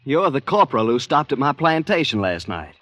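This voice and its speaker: An actor playing a proud, indignant Southern gentleman planter in an old radio drama, with formal, theatrical delivery. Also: medium pitch, big pitch swings, brisk, no echo. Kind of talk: old-time radio acting. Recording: dry, dull old radio recording.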